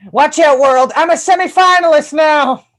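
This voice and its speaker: A man's voice: very high-pitched, moderate pace, fairly bright tone. A man playing a hapless, dramatic aspiring male screenwriter in a comedy table read. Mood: excited, triumphant. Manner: theatrical, swinging between gushing and bleak.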